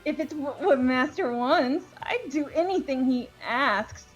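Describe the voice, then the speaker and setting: A volatile, obsessive young woman from a visual novel game, acted by a woman. Her voice sits high and her delivery is slow and touchy, over background music. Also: lo-fi sound. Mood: nervous, defensive. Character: timid, stammering, devoted young woman with a brash sadistic other self.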